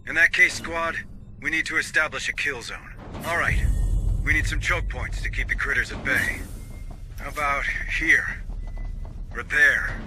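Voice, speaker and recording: An actor playing a commanding, terse marine squad leader in a scripted military video game. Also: male voice, fairly high pitch, unhurried, long pauses, sharp tone, slight background noise. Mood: brisk, focused. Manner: authoritative, terse.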